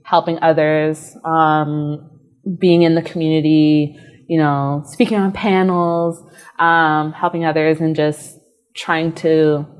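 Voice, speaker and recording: A young businesswoman, a guest on an interview show, answering reflectively with hesitations and restarts. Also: sits low. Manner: reflective, hesitant, many fillers and restarts, laughs easily. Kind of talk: casual personal interview answers.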